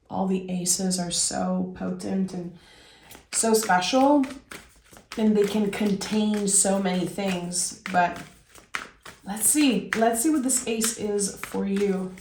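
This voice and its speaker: A woman gives an unhurried tarot reading, her voice raspy from a cold. She wanders thoughtfully from point to point, and her voice rings in an echoey room. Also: mid-range voice, darkish tone, slight background noise. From Québec. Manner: reflective, meandering, with fillers. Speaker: tarot reader. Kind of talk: casual spoken tarot reading.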